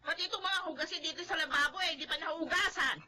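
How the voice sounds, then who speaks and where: An actress playing an exasperated, nagging aunt in a radio drama, shrill and scolding. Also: female voice, fast, bright, forceful tone, a little hiss or hum, full character voice. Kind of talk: Filipino radio drama acting.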